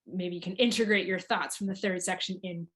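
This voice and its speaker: A woman's voice: lowish voice, steady tone, fairly quick, fairly bright tone. Chatty woman moderating a panel. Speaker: panel host.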